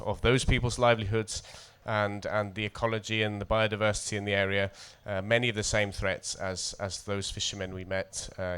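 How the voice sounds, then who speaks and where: A man from North Wales with a North Welsh accent, a poet introducing and reading poetry at a festival in a measured, deliberate way. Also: on the low side, fairly bright tone, clear, resonant tone. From coastal North Wales.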